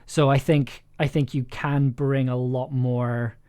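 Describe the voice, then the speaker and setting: A man's voice: mid-range voice, little pitch movement, slow pace, dark tone, clean tone. A man directing an audio drama session, giving casual, encouraging notes and circling back to rephrase himself.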